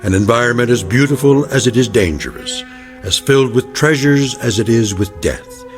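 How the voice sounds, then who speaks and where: An actor playing a solemn narrator of an eerie anthology drama, speaking in a measured, ominous way. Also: male voice, medium pitch, animated, natural voice. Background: music bed.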